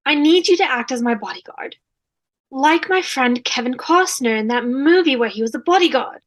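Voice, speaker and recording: A woman's voice: high voice, expressive, moderate pace. A woman playing a gracious, wordy, name-dropping rich socialite in a scripted comedy read over a video call. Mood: conspiratorial. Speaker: fan table-read actor.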